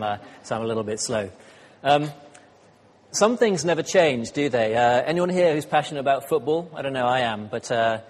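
A slightly hoarse man gives a sermon as guest speaker, chatty and self-deprecating, with frequent ums and uhs. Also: mid-range voice, slight background noise. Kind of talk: sermon with light humor. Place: church hall. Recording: church hall reverb.

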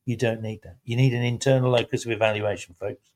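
A man hosting a relaxed faith-based chat, talking reflectively with gentle emphasis. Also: medium pitch, fast.

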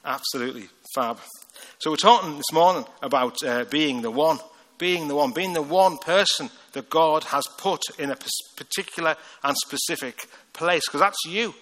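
A man with a Liverpool accent preaching a lively, joking sermon and reading scripture with acted voices. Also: fairly high pitch, normal speed. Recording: long hall reverb.

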